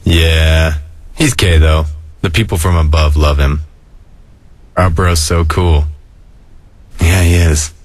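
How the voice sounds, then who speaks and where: A man acting a deep, laid-back skeleton. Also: fairly even intonation, slow, pauses often, no room sound, heavily acted. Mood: relaxed explaining. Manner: laconic, casual, low-key. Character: laid-back, weary skeleton older brother, wry and caring. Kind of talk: animated comic dub acting.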